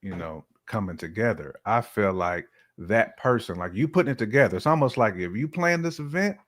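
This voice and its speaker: A man's voice: fairly low pitch, lively intonation, fairly quick, a bit bright. A man speaking as a podcast guest, sharing opinions in casual, slangy, conversational speech. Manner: informal, colloquial speech with slang.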